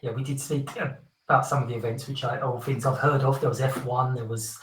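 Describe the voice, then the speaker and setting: Bright-voiced podcast host, echoey room. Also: male voice, medium pitch, level tone, fairly quick, recorded from a distance. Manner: chatty, friendly, laughs often with filler ums. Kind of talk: casual podcast chat.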